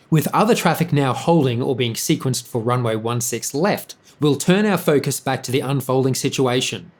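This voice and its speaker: A man reading scripted documentary narration in a measured, factual manner. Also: mid-range voice.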